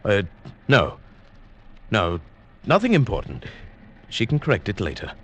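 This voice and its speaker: A man's voice: lowish voice, wildly swooping pitch, measured pace, noisy background, exaggerated voice. A man acting as a smug, fussy, scheming professor in vintage radio suspense, speaking formally and pedantically. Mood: evasive. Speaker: actor and first-person narrator in a radio play. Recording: vintage radio recording with music.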